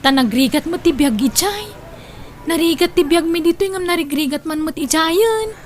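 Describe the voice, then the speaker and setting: A woman acts a hard-up, friendly job seeker in a comedy drama. Her voice sits high. She talks sociably, as an old friend would. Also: lively intonation, normal speed. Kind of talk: Ilocano comedy drama acting.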